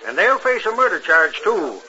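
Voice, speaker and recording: An actor playing a folksy, hearty town sheriff in a 1950s radio western, emphatic in manner. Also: male voice, high-pitched, very animated, moderate pace, sharp tone, exaggerated voice. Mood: pleased. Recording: dull 1950s radio broadcast recording.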